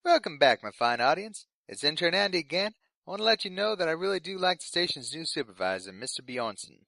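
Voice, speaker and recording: Young male radio intern, played by a man: polite, eager, nervous, lighter pitch, fiction podcast newscast, dry sound. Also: big pitch swings, a little fast, gravelly, pushed and bright, no room sound, exaggerated voice. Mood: eager, cheerful. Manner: polite, earnest delivery of absurd news. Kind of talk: scripted fiction podcast in community radio broadcast manner.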